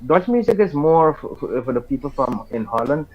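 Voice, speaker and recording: A man's voice: on the high side, sing-song, fairly quick, dull audio, slight background noise. A man from Suriname with a Surinamese accent and Hindi as his first language, a guest singer chatting in a casual interview and often repeating words as he talks.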